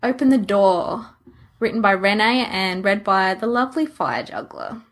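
A woman co-host speaks in casual podcast chat. Her voice is medium in pitch, with a noticeably husky, rough edge. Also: lively intonation, moderate pace.

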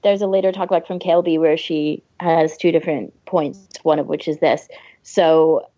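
A woman's voice: low voice, fairly even intonation, moderate pace, dark tone, dry recording. A woman co-hosting a podcast in casual chat, on a dry, slightly dull recording. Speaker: podcast co-host.

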